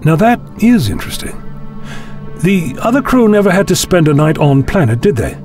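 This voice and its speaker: A man acting a learned, calmly authoritative time-travelling scientist in a science fiction audio drama, speaking in measured, formal phrases. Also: high voice, faint music behind. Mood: grave. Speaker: lead actor in a fan audio drama.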